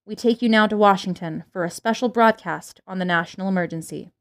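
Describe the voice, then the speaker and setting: A serious female announcer for a radio play, acted by a woman. She delivers urgent invasion bulletins formally and with measured gravity. Her voice sits at an average pitch. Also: natural voice. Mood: grave, solemn. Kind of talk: radio drama news bulletin.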